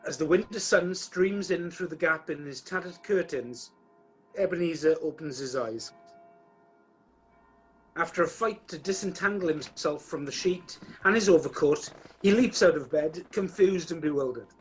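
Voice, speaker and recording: A man's voice: a little high, normal speed, soft background music, natural voice, rough recording. A man playing the wry, theatrical narrator of a radio play, addressing the listener directly. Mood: dramatic. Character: wry storyteller narrator of a Christmas tale, theatrical.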